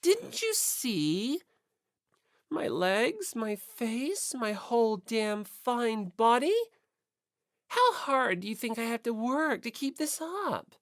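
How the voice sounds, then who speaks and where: A woman's voice: a little high, sing-song, takes it slowly, no room sound, clean studio sound, voice pushed up, exaggerated voice. A woman voicing a vain, brash teenage fashion-model schoolgirl who teases and scoffs in a cutesy, scornful way. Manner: teasing, cutesy then scornful.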